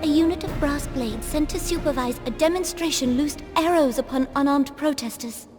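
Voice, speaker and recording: A woman's voice: high-pitched, normal speed, music in the background, close to an everyday voice. An actress playing a solemn, regal young female ruler in a fantasy video game, with formal, archaic phrasing. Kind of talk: scripted video game drama.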